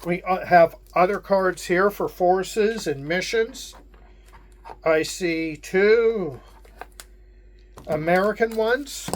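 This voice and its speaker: A man with a Pittsburgh accent, hosting a casual unboxing and walking through the contents in a measured way with frequent pauses. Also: high voice, slight background noise. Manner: unhurried, measured, with frequent hesitations. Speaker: wargame channel host.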